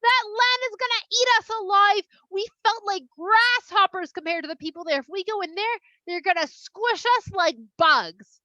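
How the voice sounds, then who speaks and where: A woman plays a panicky, whiny complainer from a children's story, extremely high and shrill. The words come out as loud, drawn-out wails. The recording is dry and slightly dull. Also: dry recording. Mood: angry, panicky. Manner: whining, shouting, drawn-out wails. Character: panicky, whiny crowd of frightened men, loud and wailing.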